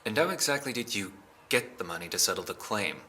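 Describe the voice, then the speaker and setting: An actor playing a stern, suspicious police interrogator in an audio drama, formal and pressing. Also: male voice, fairly low pitch, expressive, fast talker, sharp tone. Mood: probing.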